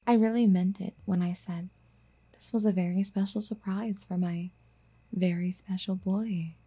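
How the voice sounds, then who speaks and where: A woman playing a doting, clingy, obsessive girlfriend in an ASMR roleplay, speaking in a soft, breathy, coaxing half-whisper. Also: medium pitch, fairly even intonation, moderate pace, lightly acted. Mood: coaxing, eager. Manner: cooing, coaxing pet-name sweet talk.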